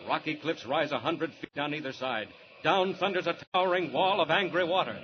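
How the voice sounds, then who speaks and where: A man's voice: fairly high pitch, a little fast. A man narrating a scripted 1940s radio serial in an urgent, declamatory, dramatic manner. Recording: muffled, noisy old radio recording.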